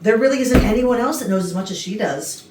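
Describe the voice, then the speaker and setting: A woman's voice: a little high, expressive, fairly quick, close to an everyday voice. A woman acting a brusque, ambitious, scheming executive with emphatic delivery in a comedy staged reading. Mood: knowing. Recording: noisy roomy rehearsal, far from mic.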